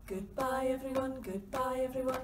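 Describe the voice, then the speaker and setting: A woman with a high voice signs off a children's storytime cheerfully and sings a goodbye song. She sounds distant from the mic. Clear room noise and clicks are heard behind her. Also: fairly even intonation, normal speed, reverberant. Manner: cheerful sign-off, then singing. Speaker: library storytime leader.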